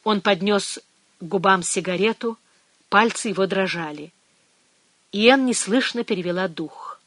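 An audiobook narrator giving a measured, expressive scripted reading into a close mic. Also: medium pitch, no echo.

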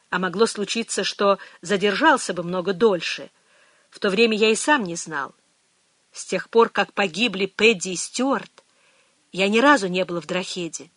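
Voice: mid-range voice, a bit bright, no echo, natural voice. A reader voicing a weary, guarded middle-aged priest in an audiobook, speaking in a restrained, reflective way. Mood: wistful.

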